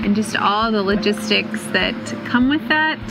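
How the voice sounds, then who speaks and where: A woman volunteer speaking thoughtfully and gently in an interview, with soft fillers between thoughts. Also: mid-range voice, animated, unhurried, vocal fry, over music, low-quality recording. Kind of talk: interview reflection.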